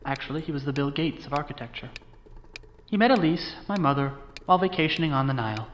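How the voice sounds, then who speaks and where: A man's voice: fairly high pitch, lively intonation, quick pace, slight background noise, close to an everyday voice. An actor playing a wry, guilt-ridden young American man recounting his family history in an audio drama. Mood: wry, reminiscing. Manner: wry, sardonic, confiding. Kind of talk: audio drama monologue, conversational storytelling.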